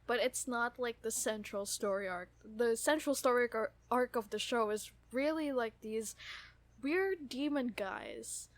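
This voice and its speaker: Unhurried higher-voiced woman, dry close sound. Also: dry recording. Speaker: podcast co-host. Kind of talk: casual chatty fan discussion.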